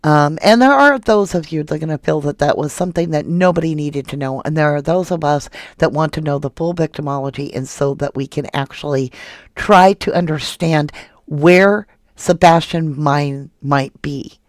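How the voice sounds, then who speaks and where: A woman's voice: low-pitched, normal speed, no echo, studio quality. Indignant woman reading viewer comments. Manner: indignant, questioning, rhetorical. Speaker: true crime channel host.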